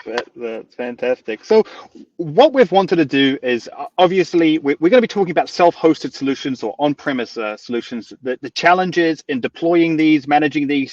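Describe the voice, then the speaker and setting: A man's voice: fairly high pitch, dry recording, recorded from a distance, muffled recording. A man from New Zealand with a New Zealand accent, hosting a live webinar in an upbeat, chatty way with plenty of fillers and restarts. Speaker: webinar host.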